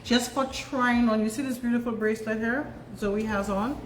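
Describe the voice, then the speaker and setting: A woman's voice: a little high, fairly even intonation, moderate pace, a little hiss or hum. Laughing, persuasive saleswoman in an echoey store. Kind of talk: casual sales pitch on camera. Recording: echoey room from far off.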